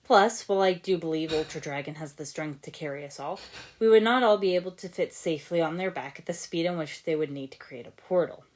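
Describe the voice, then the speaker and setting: A woman's voice: low-pitched, a little fast, darkish tone, natural voice. Woman as a logical, courteous robot ninja man. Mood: reasoned, precise. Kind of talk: fan fiction audiobook character voice.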